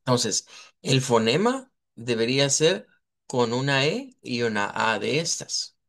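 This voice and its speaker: A man teaching a live online language class. He explains at length, models sounds slowly and often checks in with his students. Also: mid-range voice, very animated, fairly bright tone. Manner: checks in with students, asks "verdad".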